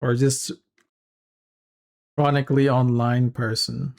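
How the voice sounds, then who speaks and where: Slow, gravelly South African man. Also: medium pitch, level tone, darkish tone. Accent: South African English. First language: Afrikaans. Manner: laid-back, chatty, laughs easily. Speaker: streamer. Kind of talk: casual chat with viewers while 3D modeling.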